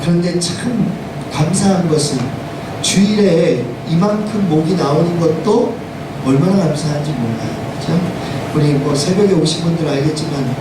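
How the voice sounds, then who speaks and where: Male pastor for a church sermon: hoarse, insistent, questioning the congregation, heard from far off over clear noise. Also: moderate pace. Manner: emphatic, asks the congregation rhetorical questions. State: hoarse from a cold. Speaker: senior pastor. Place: church sanctuary, preaching to congregation. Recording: echoey, distant, noisy room with rumble.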